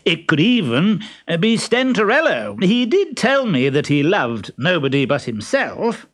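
A mischievous, kind-hearted servant from a storybook, played by a man. He sounds playful and mock-innocent, with a raised, very animated pitch. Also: unhurried, exaggerated voice. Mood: playfully sly.

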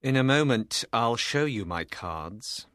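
A man playing a grim, calm lawyer who confronts people firmly and deliberately in a dramatised audiobook. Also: mid-range voice, dry recording, studio quality. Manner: firm, deliberate, calmly menacing. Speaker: voice actor in a dramatised story.

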